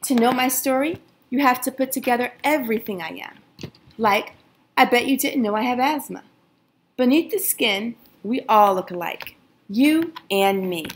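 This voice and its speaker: A woman teacher reading a picture book aloud to children, gently addressing her young listeners and asking them questions. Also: background noise. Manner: expressive, lively pitch swings on questions.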